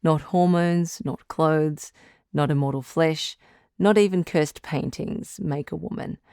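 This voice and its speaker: A woman playing a calm doctor who hosts a radio advice show in an audio drama, measured, articulate and reassuring. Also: low voice, smooth, dry recording, studio quality. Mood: reassuring, sincere. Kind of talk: scripted audio drama as radio call-in host.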